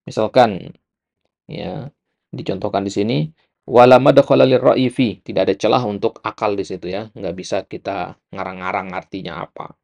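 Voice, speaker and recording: Casting: male religious studies lecturer, Indonesian accent, lowish register, very gravelly creak, didactic and repetitive delivery. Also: fairly even intonation, normal speed. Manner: didactic, repetitive, frequent short check words. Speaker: Islamic studies teacher. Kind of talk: explanatory religious lecture, reading and glossing an Arabic text.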